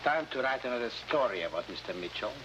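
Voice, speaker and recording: An actor playing a smug, sinister South American newspaper boss, coolly sardonic, in a 1950s crime drama. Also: male voice, medium pitch, lively intonation, quick pace, natural voice. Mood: smug, threatening. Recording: dull, noisy old 1950s drama soundtrack.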